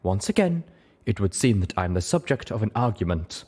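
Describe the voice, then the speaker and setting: A man's voice: fairly low pitch, big pitch swings, brisk, darkish tone, slightly rough. A man voicing a guarded female zebra who speaks in a blunt, formal and stilted way. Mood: puzzled formality.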